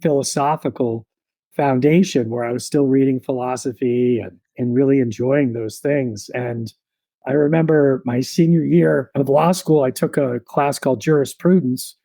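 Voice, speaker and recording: A man in his thirties, a podcast guest, telling his story in a relaxed, reflective way with many fillers. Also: mid-range voice, moderate pace, vocal fry, no room sound.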